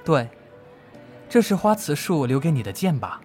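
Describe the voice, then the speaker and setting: A man playing a gentle, grieving swordsman master in an amateur radio drama, speaking in a kindly, measured, coaxing way. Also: on the high side, big pitch swings, faint music behind. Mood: solemn.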